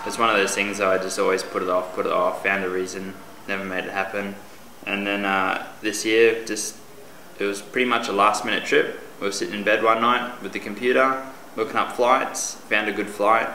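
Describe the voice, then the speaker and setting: A young man with an Australian accent, answering interview questions in a relaxed way with fillers. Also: on the low side, normal speed, reverberant, a little hiss or hum, low-quality recording.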